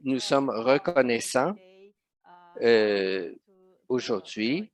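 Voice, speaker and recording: Man, simultaneous interpreter, slow delivery, hesitant, lots of fillers, mid-pitched. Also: expressive. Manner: hesitant, many fillers and restarts.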